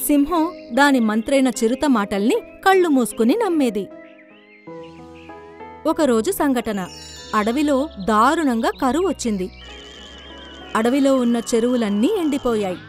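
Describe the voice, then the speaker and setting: A woman's voice: high-pitched, darkish tone. A woman reading scripted narration for a children's story.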